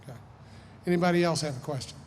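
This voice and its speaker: A man's voice: a little high, quick pace, darkish tone. A man with a Southern American accent giving an informal, folksy, explanatory seminar talk as a lawyer. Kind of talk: informal lecture with audience questions. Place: large hall, speaking to an audience. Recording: echoey hall, noisy background.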